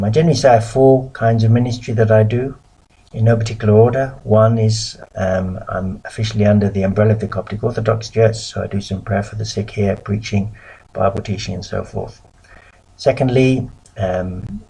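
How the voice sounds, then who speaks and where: Low gravelly man from the UK. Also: dark tone, rough recording. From United Kingdom. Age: middle-aged. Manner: measured, pausing often with ums. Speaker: missionary lecturer. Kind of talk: recorded lecture presentation.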